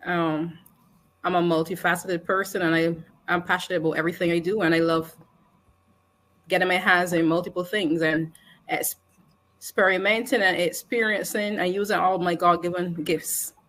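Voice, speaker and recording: A woman with a Barbadian accent, speaking as a radio guest. Her voice sits a little low, and she talks about herself in an unhurried, reflective way. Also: steady tone, a bit bright. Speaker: guest, magazine contributor. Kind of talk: radio interview.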